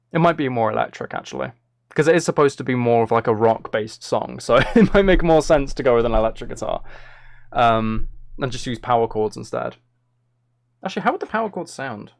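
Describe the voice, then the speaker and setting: A man's voice: mid-range voice, lively intonation, normal speed, creaky, pauses often, dry recording. British man chatting casually, dry close sound. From Britain. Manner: rambling, thinking aloud. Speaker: live streamer and musician. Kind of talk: casual chat while making music on a livestream. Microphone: streaming microphone, close.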